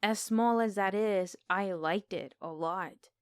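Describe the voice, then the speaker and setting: A woman's voice: medium pitch, slow, clear, resonant tone, no echo, clean studio sound. A woman co-hosting a podcast, giving film opinions in casual, unscripted conversation. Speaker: podcast co-host. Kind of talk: casual film review chat.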